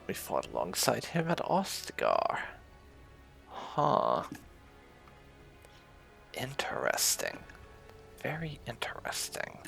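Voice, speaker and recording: A man's voice: medium pitch, wildly swooping pitch, slow pace, gravelly, pauses often, fairly bright tone, faint music behind. A man giving casual gameplay commentary as host, with dry, muttered asides. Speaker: gaming channel host.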